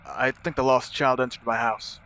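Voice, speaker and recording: A man playing a frightened homeowner phoning the police, speaking hesitantly and haltingly in an acted emergency call. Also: mid-range voice, steady tone, heavy background noise, natural voice. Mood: fearful, uneasy.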